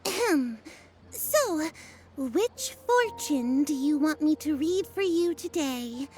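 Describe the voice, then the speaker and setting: A woman voicing an awkward fortune teller in a video game, halting as she solemnly speaks for the gods. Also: high-pitched, animated, a little mellow, soft background music. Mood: hesitant, solemn. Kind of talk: video game character acting.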